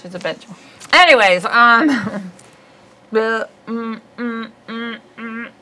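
Bright-voiced chatty Midwestern woman comic. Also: fairly high pitch, slow pace. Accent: Midwestern American. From Ohio, USA. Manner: chatty, laughing, bawdy storyteller. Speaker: comedian host. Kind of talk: casual comedic chat. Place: small studio set, seated indoors.

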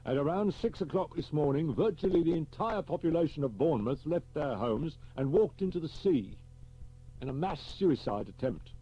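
Grave, blunt police chief, read by the narrator, pitched up and forceful. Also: male voice, lively intonation, breathy edge, dull audio. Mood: grave, urgent. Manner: brisk and blunt. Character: blunt, grave senior police chief, middle-aged man.

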